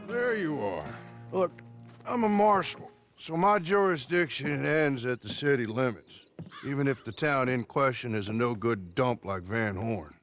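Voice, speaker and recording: A man's voice: mid-range voice, a bit bright. An actor playing an easygoing, wily frontier lawman with an American Southern accent, speaking in a wry, folksy drawl. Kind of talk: video game cutscene acting.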